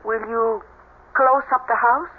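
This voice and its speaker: Casting: deferential housemaid, high halting voice, narrow vintage radio sound. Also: female voice, fairly even intonation. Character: deferential, uneasy Spanish-speaking housemaid. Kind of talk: 1970s radio drama acting. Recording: thin, noisy vintage radio recording.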